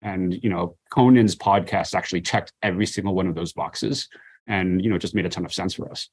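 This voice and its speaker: A man's voice: sits low, steady tone, brisk, slightly rough. A man giving casual, conversational interview answers about his work, often saying "you know" and "like". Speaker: brand marketer, interview guest.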